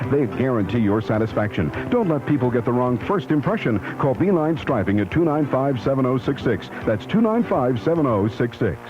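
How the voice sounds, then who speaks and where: A man reading a scripted radio commercial as an announcer. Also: medium pitch. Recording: dull AM radio aircheck.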